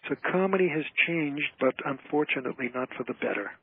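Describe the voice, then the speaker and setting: An older man chats as a radio interview guest, sharing stories in a casual way. His voice is rough and husky, and it comes through thin and narrow, like a telephone call. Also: on the high side, fast. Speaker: guest, author and comedy emcee. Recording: tinny, narrow phone-line sound.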